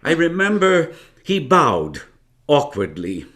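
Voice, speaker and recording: Very slow, deliberate male narrator. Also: on the high side, wildly swooping pitch, fairly bright tone, full character voice. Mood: vivid recollection. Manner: deliberate, descriptive, emphatic. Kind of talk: radio drama narration.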